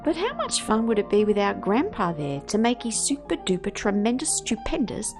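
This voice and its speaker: A woman reading a children's story aloud with theatrical, emphatic, drawn-out phrasing. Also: mid-range voice, sing-song, music in the background.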